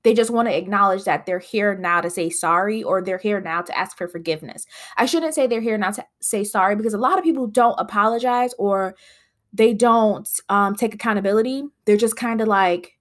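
A woman's voice: medium pitch, a little fast. Nasal, congested woman podcaster. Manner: chatty, rambling with frequent fillers. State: congested sinuses. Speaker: podcast host. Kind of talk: casual solo podcast chat.

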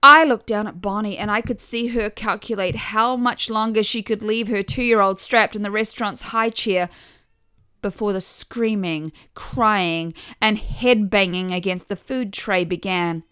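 A woman's voice: mid-range voice, measured pace, bright, forceful tone, clean tone, no room sound. Wry woman storyteller, dry close sound. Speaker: podcast author and narrator. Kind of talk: scripted first-person story narration.

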